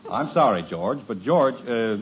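A man's voice: medium pitch, expressive, a little slow, crowd noise, lightly acted. An actor playing a harried suburban father who is sarcastic and exasperated, in 1950s radio comedy. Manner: exasperated, wry. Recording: muffled old radio broadcast.

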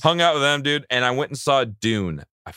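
A man in his thirties co-hosting a casual sports podcast, bantering in a relaxed, jokey way. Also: mid-range voice, fairly quick, smooth, no room sound, studio quality. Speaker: podcast co-host.

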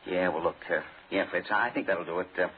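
An actor playing a brash, sarcastic, impatient radio director in a 1940s radio play, slangy and fussy. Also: male voice, deep voice, brisk, a little hiss or hum, close to an everyday voice. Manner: fussy, slangy, hesitant bursts. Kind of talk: 1940s radio drama acting. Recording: muffled 1940s radio recording with background voices.

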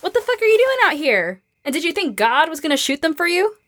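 A woman's voice: very high-pitched, sing-song, fast talker, fairly bright tone, heavily acted. A woman acting a tough, blunt young survivor with anxiety underneath, in a naturalistic audio drama. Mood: brusque. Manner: blunt, no-nonsense.

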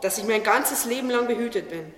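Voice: mid-range voice, fairly quick, close to an everyday voice. An actor playing a naive, curious talking tree in a religious radio play, earnest and questioning. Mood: grateful, happy. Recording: echoey, distant, long reverb, music behind.

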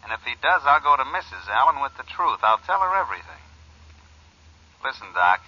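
An actor playing a sly, menacing blackmailer on a phone call, in 1940s radio style on a muffled old recording. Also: male voice, mid-range voice, sing-song, brisk, pushed and bright, husky, full character voice. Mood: taunting, menacing. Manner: sneering, insinuating.

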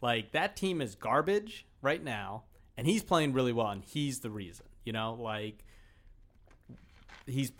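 A man's voice: mid-range voice, animated, measured pace, a bit bright. A man co-hosting a podcast, talking sports in a casual, conversational style. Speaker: podcast co-host.

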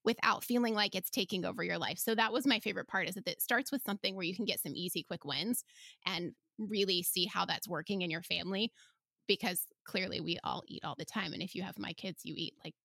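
A woman's voice: fairly low pitch, quick pace, creaky, bright, forceful tone, no room sound, clean studio sound. A woman hosting a casual podcast interview, chatty and enthusiastic in her way of talking. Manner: chatty, enthusiastic, laughs often. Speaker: podcast host.

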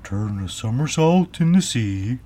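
A man's voice: mid-range voice, sing-song, takes it slowly, darkish tone, pitched above the performer's own voice. A man voicing a sad, tearful, earnest sea turtle in a storybook. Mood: animated, instructing. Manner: mournful, solemn, earnest. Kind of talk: storybook character voice.

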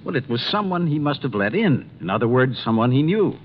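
A man playing a troubled, formal company president in a 1950s radio drama, speaking deliberately and emphatically. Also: medium pitch, sing-song, close to an everyday voice. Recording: dull, faintly noisy 1950s radio recording.

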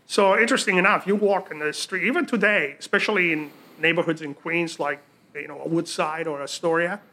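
A man's voice: fairly high pitch, fairly quick, sharp tone. A man in his sixties from Romania, with Romanian as his first language, telling his life story in a casual, rambling interview full of fillers and restarts. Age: 60s. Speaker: guest.

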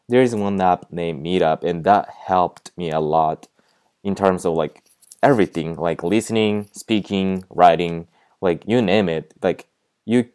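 Japanese-accented guy doing a chill podcast, low clean voice, lots of likes and ums. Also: a little mellow, clear, resonant tone. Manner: halting, searches for words with frequent "like" and "um". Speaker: podcast host. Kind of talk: casual solo podcast tips.

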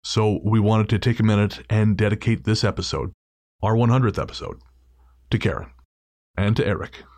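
Low-voiced man hosting a podcast. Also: fairly even intonation, normal speed, a little mellow, no room sound. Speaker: podcast host. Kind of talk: casual podcast introduction.

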